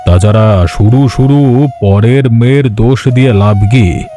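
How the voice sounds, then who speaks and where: A man voicing a gentle elderly father who calmly soothes his wife. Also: medium pitch, a little slow, close to an everyday voice. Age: older. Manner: soothing, reasoning. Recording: voice over music.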